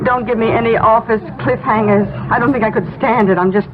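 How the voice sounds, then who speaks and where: An actress playing a frazzled, long-suffering middle-aged American suburban wife in a 1970s radio drama. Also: female voice, on the high side, fairly even intonation, brisk, natural voice. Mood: harried. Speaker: radio actor. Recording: noisy, tinny old radio broadcast.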